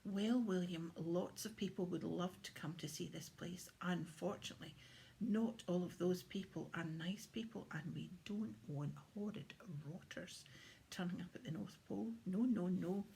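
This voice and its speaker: A woman's voice: on the low side, normal speed, vocal fry, mellow. A woman voicing a jolly, proud old Santa who explains things merrily in a children's storybook. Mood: earnest. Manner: merry, explanatory. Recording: faint background noise.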